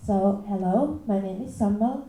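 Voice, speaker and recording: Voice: mid-range voice, normal speed, dark tone. A nineteen-year-old from Finland, a native Finnish speaker with a woman's voice, introducing themselves plainly. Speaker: conference participant. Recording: tinny and echoey.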